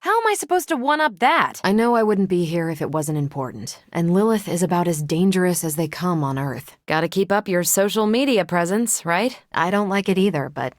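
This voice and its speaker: A woman's voice: lowish voice, animated, brisk, few pauses, dry recording, clean studio sound, lightly acted. A young woman with an American accent playing a confident, wisecracking superhero in a video game, wry and punchy. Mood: wry, conversational. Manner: wry, punchy, self-assured. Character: confident, wisecracking, battle-ready female superhero. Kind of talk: video game character voice lines.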